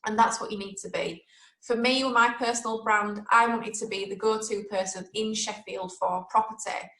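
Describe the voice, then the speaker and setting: A woman's voice: medium pitch, fairly even intonation, moderate pace, a bit bright, roomy sound, poor audio. A woman with a Yorkshire accent hosting a webinar, talking in a chatty, direct and motivational way. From Yorkshire, England. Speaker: webinar host.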